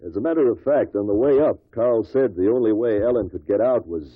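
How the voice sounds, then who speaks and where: An actor playing a guarded stockbroker who gives measured, matter-of-fact testimony in a 1950s radio drama. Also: male voice, fairly low pitch, no echo, natural voice. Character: guarded businessman, male stockbroker giving testimony. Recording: tinny, dry old radio recording.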